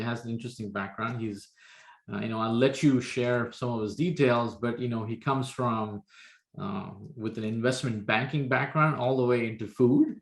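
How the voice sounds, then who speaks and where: A man's voice: medium pitch, reverberant. A man co-hosting a podcast in a studio, upbeat, with many fillers. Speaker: podcast co-host. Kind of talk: podcast interview.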